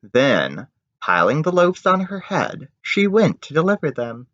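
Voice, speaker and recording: Very animated man reading a storybook. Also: a little high, measured pace. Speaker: teacher reading aloud to children. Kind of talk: expressive picture-book read-aloud with asides. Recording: dull, echoey room recording.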